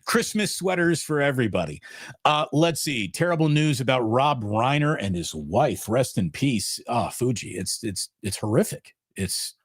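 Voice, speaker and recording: A man's voice: mid-range voice, expressive, normal speed, no room sound, studio quality. A man co-hosting a casual sports talk show. He is emphatic and repeats phrases for emphasis.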